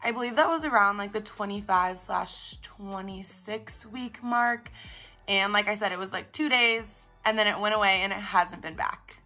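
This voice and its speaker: A young woman with a raspy, congested voice, chatting casually in a vlog. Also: mid-range voice, normal speed, pushed and bright, faint music behind. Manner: chatty, upbeat, filler-heavy. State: congested, stuffed up. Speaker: vlogger. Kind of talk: casual pregnancy recap vlog.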